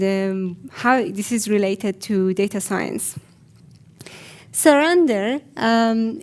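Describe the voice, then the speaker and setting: A woman with an Iranian accent giving a personal conference talk in a reflective, hesitant way with frequent pauses. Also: mid-range voice. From Iran. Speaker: conference speaker.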